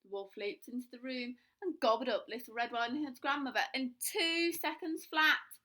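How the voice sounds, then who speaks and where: A woman's voice: high-pitched, moderate pace, pushed and bright. A woman reading a children's storybook aloud, narrating expressively with dramatic emphasis.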